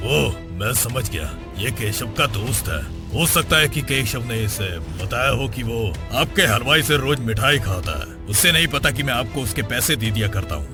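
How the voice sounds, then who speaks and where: Level-headed cartoon father male actor, mid-pitched, gravelly, explaining patiently. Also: expressive, normal speed, few pauses, over music, lightly acted. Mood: calm, explaining. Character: calm, reasonable father, a bank worker. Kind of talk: children's cartoon story acting.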